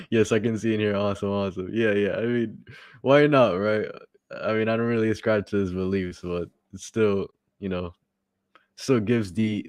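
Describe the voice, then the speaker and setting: A man with a low voice hosts a casual live stream. He has a minor stutter and often repeats words and pauses on fillers. The sound is dry and close, with no room echo. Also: darkish tone. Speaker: stream host. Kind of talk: casual livestream commentary.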